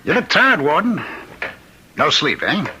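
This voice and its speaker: An actor playing a taunting condemned killer in an old radio drama, mocking and theatrically calm. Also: male voice, fairly high pitch, a little slow, full character voice. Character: taunting condemned killer, man claiming to be immortal Ripper. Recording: noisy old radio recording with clicks.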